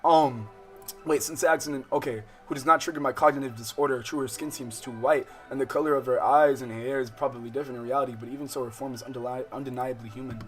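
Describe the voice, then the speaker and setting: Slangy American man streaming over loud game music. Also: medium pitch, steady tone, fast talker. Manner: slangy, profane, digressive, interrupting himself while reading. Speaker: livestream host playing a visual novel. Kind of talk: casual let's-play commentary with read-aloud game text. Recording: music playing under the voice. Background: game soundtrack music.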